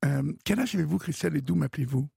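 A man hosting a late-night radio call-in show, gently prompting his caller with questions. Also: fairly high pitch, animated, quick pace, gravelly, slightly rough, no room sound, clean studio sound. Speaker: radio host. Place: radio studio.

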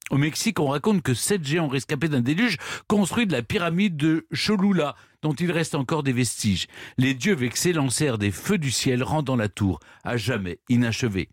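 A man hosting a radio history show, telling old stories in a lively, animated way between bits of banter. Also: mid-range voice, normal speed, few pauses, no echo. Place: radio studio. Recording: dry studio sound with faint music behind.

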